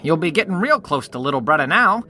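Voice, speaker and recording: An actor playing a flippant, callous state warden, glib and slangy, in a comedic radio play. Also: male voice, a little high, very animated, quick pace, pushed and bright, full character voice. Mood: breezy, callous. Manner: glib, slangy, mangles big words.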